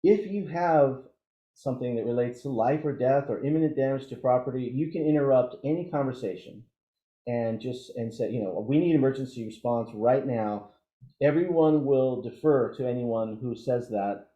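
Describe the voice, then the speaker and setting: A man giving an informal training presentation as an instructor, explaining things haltingly and thinking aloud through examples. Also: medium pitch, dark tone. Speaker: emergency radio trainer. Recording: dull, roomy, echoey audio.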